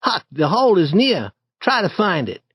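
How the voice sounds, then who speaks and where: A man's voice: normal speed, husky, no echo, full character voice. Bold eager boy, as a man puts him on. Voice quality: raised, boyish pitch. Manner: excited, lively storybook acting. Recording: dry, slightly dull recording.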